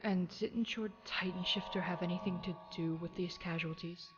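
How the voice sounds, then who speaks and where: A woman's voice: on the low side, fairly even intonation, moderate pace, muffled recording, background noise, natural voice. A woman playing a cool, skeptical military officer in an audio drama, blunt and probing. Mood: skeptical, stern. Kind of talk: fan audio drama acting.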